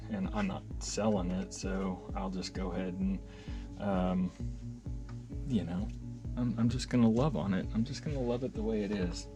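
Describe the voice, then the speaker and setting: A man with a lowish voice presents gear in a loose, unscripted way. He is enthusiastic but rambles, mutters asides and corrects himself mid-sentence. Faint music can be heard behind him. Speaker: hobbyist video host and reviewer. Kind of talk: casual unscripted gear demonstration.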